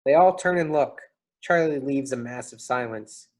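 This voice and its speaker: A man's voice: medium pitch, moderate pace, darkish tone, close to an everyday voice. A man reading out stage directions as scripted narration for a play reading.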